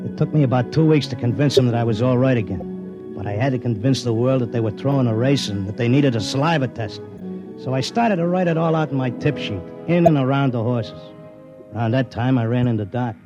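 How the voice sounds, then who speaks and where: An actor playing a brash, slangy racetrack tipster who also narrates, in a 1950s radio drama. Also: male voice, mid-range voice, brisk, muffled recording, music in the background, lightly acted. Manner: streetwise and slangy. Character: brash fast-talking racetrack tipster, middle-aged man. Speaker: narrator and lead.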